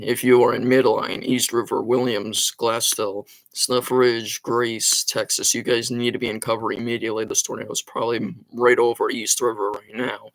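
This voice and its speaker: Urgent livestream storm tracker, sore throat. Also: male voice, medium pitch, a bit bright, no room sound, rough recording. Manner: urgent warnings mixed with frequent fillers. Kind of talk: live weather commentary.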